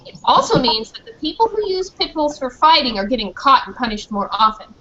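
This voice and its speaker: A woman's voice: sits high, sing-song, fairly quick, sharp tone, exaggerated voice. A woman playing a proud, earnest pit bull dog who narrates his own story in a school play. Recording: muffled, noisy school performance recording.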